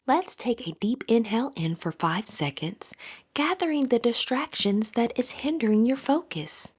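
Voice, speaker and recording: A woman leading a scripted guided meditation in a soothing, measured manner. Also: on the high side, expressive, breathy edge.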